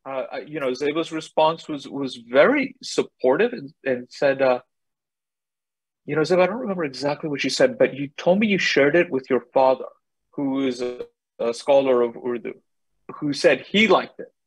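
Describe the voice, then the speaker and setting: A man's voice: medium pitch, lively intonation, moderate pace, breathy edge, no echo, rough recording. A middle-aged man, a guest on a video call, telling a wry anecdote. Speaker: guest, scholar.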